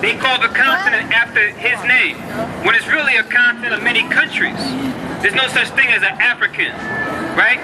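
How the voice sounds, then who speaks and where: A man street preaching, explaining scripture to a passerby in a measured, lecturing way on a city corner. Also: very high-pitched, steady tone. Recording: outdoor street with crowd and traffic noise.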